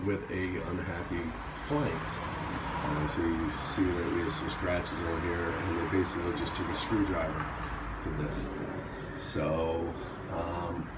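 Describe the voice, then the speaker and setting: A man with a fairly low voice narrates an informal walkthrough of an installation job. He talks haltingly, working out his thoughts as he goes. The sound is echoey, with loud ambient noise around him. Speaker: security camera and intercom installer presenting a job. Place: walking around an apartment complex building.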